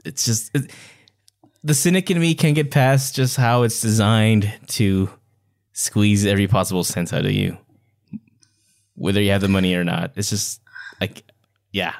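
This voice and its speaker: A man's voice: on the low side, moderate pace, creaky, pauses often. A man co-hosting a podcast, chatting casually in a slangy, cynical manner. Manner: slangy, self-declared cynic. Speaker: podcast co-host. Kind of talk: casual chat.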